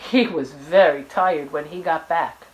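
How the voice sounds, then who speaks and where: A woman's voice: deep voice, measured pace, rough recording. A woman storyteller reading a children's book aloud, expressively and with dramatic emphasis.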